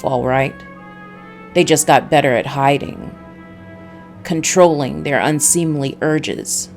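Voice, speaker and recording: A woman's voice: sits low, darkish tone. A woman voicing a world-weary ex-cop private detective, narrating a noir visual novel in a dry, brooding way.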